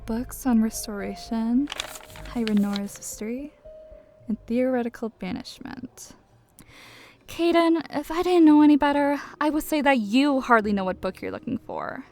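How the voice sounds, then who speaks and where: Actress as blunt nosy young woman, high voice, pointed dramatic delivery, roleplay drama, faint music. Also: lively intonation, normal speed, darkish tone. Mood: probing. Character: nosy, exasperated, blunt young female best friend. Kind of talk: Minecraft roleplay voice acting.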